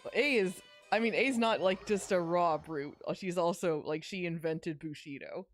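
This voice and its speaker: A woman's voice: lowish voice, moderate pace, soft background music. A woman co-hosting a podcast, giving casual, chatty commentary on a video game. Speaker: podcast co-host.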